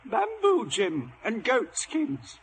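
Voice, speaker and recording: An actor playing a skittish, half-crazed old marooned sailor with rustic pirate speech in a dramatized adventure story. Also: male voice, huge pitch swings, slow pace, a little hiss or hum, full character voice, rough recording. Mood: excitable. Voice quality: old, cracked high voice. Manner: rustic pirate speech, quoting himself aloud.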